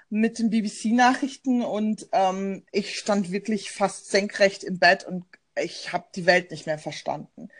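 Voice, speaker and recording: A woman's voice: medium pitch, a bit bright. A German woman, a journalist and podcast co-host, talking in a thoughtful, personal way with frequent fillers over a remote call. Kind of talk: casual conversational podcast.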